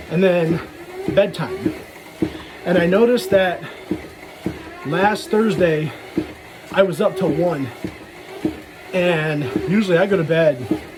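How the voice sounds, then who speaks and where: A man with a rather high-pitched voice talks in a loose, casual vlog style. He wanders between thoughts and slips in self-deprecating jokes. Clear ambient noise, with some vehicle sound, runs behind him. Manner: self-deprecating, jokey asides. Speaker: vlogger. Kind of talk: casual rambling personal vlog. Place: talking while out walking.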